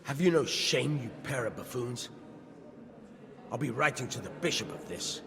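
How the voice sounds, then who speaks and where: A man's voice: medium pitch, lively intonation, normal speed, vocal fry, fairly bright tone. A man playing a stern, indignant, scolding medieval man in a video game. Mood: outraged. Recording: distant voice in echoing hall.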